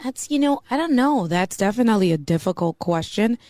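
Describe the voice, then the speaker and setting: A woman's voice: medium pitch, expressive, a little fast, dark tone, dry recording, studio quality. A woman from the East Coast of the US, a mortgage banker talking as a podcast guest in a chatty way, full of "you know.". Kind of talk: casual podcast conversation.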